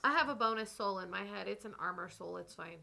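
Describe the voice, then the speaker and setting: A woman's voice: medium pitch, fast, creaky, pushed and bright. A woman bantering casually as a player in a tabletop game, with a flippant, dry manner.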